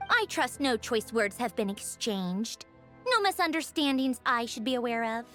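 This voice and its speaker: Haughty, teasing noblewoman, very animated. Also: fairly high pitch, moderate pace. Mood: coolly authoritative. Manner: poised, arch, teasing, self-assured. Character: poised high-ranking female Harbinger, haughty, teasing, genteel. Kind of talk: video game voice acting. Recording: game music behind the voice. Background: background music.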